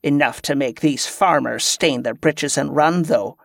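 A man's voice: on the high side, unhurried, gravelly, fairly bright tone, no room sound, clean studio sound, exaggerated voice. A man voicing a stern, scornful female military commander who gives clipped orders in inverted dialect phrasing, in a fantasy audiobook. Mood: assessing, scornful.

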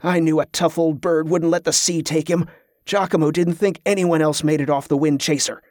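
A man's voice: fairly high pitch, steady tone, normal speed, a little mellow, no echo, clean studio sound, close to an everyday voice. Man voicing a confident, cunning adventurer. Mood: triumphant. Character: young male adventurer, confident, cunning, calming.

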